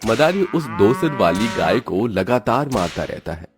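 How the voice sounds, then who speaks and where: A man narrating a cartoon moral story in a steady, dramatic storytelling style. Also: fairly low pitch, unhurried, over music.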